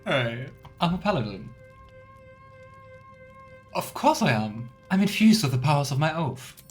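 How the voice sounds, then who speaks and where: A man's voice: on the high side, big pitch swings, unhurried. A man hosting a let's play, chatting casually and musing aloud as he goes. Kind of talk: casual game commentary. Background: game music.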